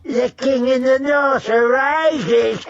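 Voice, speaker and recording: A man reading an audiobook and voicing a spiteful, cackling old lord who mocks his captives with a high, taunting "eh?". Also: steady tone, slow, creaky, dry recording, full character voice. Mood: gloating. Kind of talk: audiobook character voice. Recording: dull dry recording.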